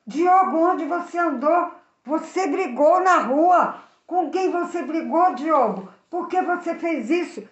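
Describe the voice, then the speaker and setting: A woman storyteller voicing an angry, worried mother who scolds her young son with loud, insistent questions. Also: very high voice, expressive, slow, voice pushed up, full character voice. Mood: angry, scolding. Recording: echoey room, slightly dull sound.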